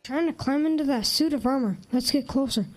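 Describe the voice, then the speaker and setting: A woman playing an eager, bossy child prankster, an excitable and scheming twin, in a radio drama. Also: high voice, fairly quick, music in the background, natural voice. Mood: excited.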